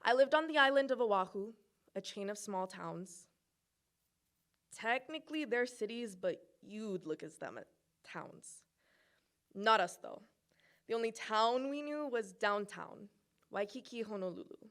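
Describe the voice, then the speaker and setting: A woman's voice: medium pitch, animated, unhurried, pauses often, fairly bright tone. A young woman from Hawaii performing a personal narrative at a recital, with playful asides and comic timing. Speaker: student presenter.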